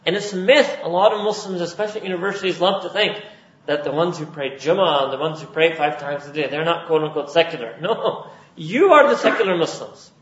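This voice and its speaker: A man's voice: fairly high pitch, brisk, a bit bright. A man from Pakistan giving a religious lecture as a preacher, building his points with emphatic repetition. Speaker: Islamic preacher. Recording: roomy, dull lo-fi recording.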